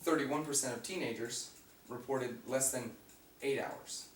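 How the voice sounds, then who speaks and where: A young man with a raspy voice giving an informative class speech, reading from notes with fillers and restarts. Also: mid-range voice, measured pace. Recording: echoey room, mic far off, faint hum.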